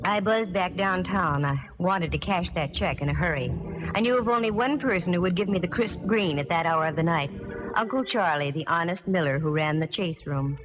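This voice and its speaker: A woman's voice: on the low side, natural voice. An American actress playing a brassy, wisecracking female private detective in a 1940s radio drama, sassy and wry. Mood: flippant. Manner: sassy, wry, quick with quips. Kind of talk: 1940s radio acting, first-person narration and dialogue. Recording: muffled old radio recording, reverberant, faint music.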